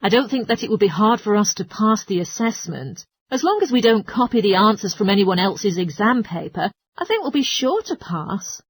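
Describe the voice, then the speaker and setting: A woman's voice: mid-range voice, sing-song, moderate pace, hardly any pauses, slightly rough, no room sound. A woman playing a helpful, studious geography student in a scripted listening-test dialogue. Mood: helpful, reassuring.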